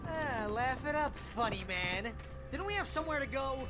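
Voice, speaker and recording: An actor playing a nervous, wisecracking, out-of-shape best-friend sidekick in a video game, full of whiny, sarcastic complaining. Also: male voice, very high voice, animated, moderate pace, music in the background.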